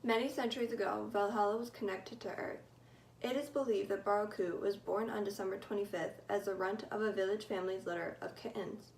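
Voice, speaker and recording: A teenage girl giving a mock-serious school presentation, telling a made-up story in a deadpan, mock-formal way. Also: mid-range voice, a little fast, creaky, slight background noise, low-quality recording. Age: teens. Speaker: student presenter.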